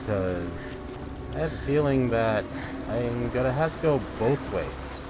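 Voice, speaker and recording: Casual male gamer with lively, swinging pitch. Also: measured pace. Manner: offhand, thinking aloud in short remarks. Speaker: gamer, let's player. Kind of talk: casual gameplay commentary. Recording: loud game audio and noise behind.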